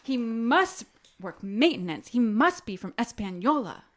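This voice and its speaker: Animated, high-voiced woman co-hosting a podcast. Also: unhurried. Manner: informal, reactive banter. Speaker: podcast co-host. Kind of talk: casual chat.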